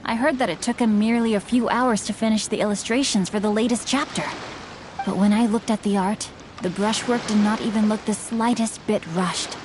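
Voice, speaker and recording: A woman's voice: medium pitch, steady tone, a little fast, darkish tone, a little hiss or hum, close to an everyday voice. A woman voicing a polite, bookish and earnest boyish young nobleman in a video game, speaking in a formal, eloquent way. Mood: admiring. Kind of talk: video game character acting.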